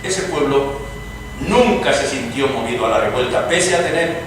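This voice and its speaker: A man's voice: mid-range voice, animated, close to an everyday voice. Man playing an earnest liberal orator, echoing hall. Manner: oratorical, measured, courteous. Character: earnest, eloquent Spanish liberal revolutionary gentleman. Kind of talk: dramatized stage reading, radio theatre. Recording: echoey hall from far off, noisy.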